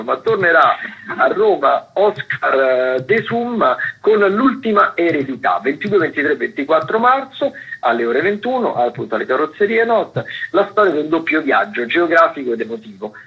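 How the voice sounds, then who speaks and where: A man's voice: on the high side, breathy edge. A man hosting a radio listings segment, talking semi-improvised and recommending events in a hesitant, digressive way, often repeating words as he goes. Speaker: radio host presenting theatre listings. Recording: muffled, phone-like narrow-band radio sound.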